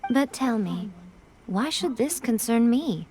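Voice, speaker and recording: A woman's voice: fairly high pitch, animated, slow pace, darkish tone. A woman playing a sly, poised, teasing shrine priestess in a video game, with coy and eloquent phrasing. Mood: cool, detached. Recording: music playing behind.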